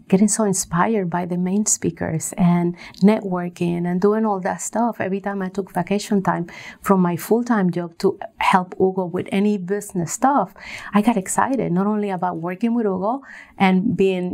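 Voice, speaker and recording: Lower-voiced woman from Puerto Rico. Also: darkish tone. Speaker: interview guest, business coach. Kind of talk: conversational interview answers.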